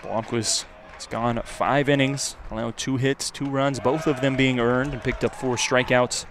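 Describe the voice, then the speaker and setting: A man's voice: medium pitch, fairly even intonation, a little slow. A man giving live play-by-play baseball commentary as a radio announcer. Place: outdoors at a baseball stadium. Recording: clear outdoor ambient noise.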